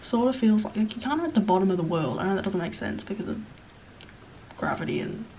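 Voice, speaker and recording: A woman in her early twenties with an Australian accent, talking casually to camera with a stuffed-up, nasal voice, rambling and self-deprecating, sometimes dropping to a soft whisper. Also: medium pitch, expressive, fast talker, slight background noise, rough recording. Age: 20s. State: sniffly, stuffed-up nose. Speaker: vlogger.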